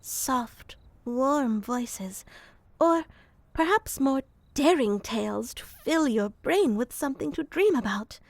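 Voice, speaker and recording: A woman playing an earnest young moth girl who helps people sleep, speaking softly and deadpan, sometimes whispering, close to the mic in an ASMR roleplay. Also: high-pitched, dry recording, full character voice. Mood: gently deadpan. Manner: soft, slow and deadpan, slips into whispers.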